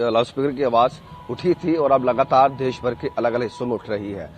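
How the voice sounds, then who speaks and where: A man giving an unscripted live news report as a correspondent, halting often to search for words. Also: medium pitch, dull audio, slight background noise.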